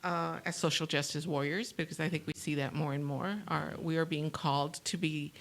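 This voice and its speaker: A woman hosting a panel discussion, her voice low and gravelly. She talks haltingly, with many fillers and restarts. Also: fairly even intonation. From New Mexico, USA. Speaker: talk show host.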